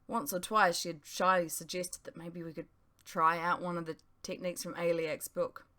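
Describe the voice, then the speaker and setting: Lowish-voiced woman narrating a story. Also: flat delivery, normal speed, pushed and bright. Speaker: author reading own audiobook. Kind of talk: expressive first-person story narration.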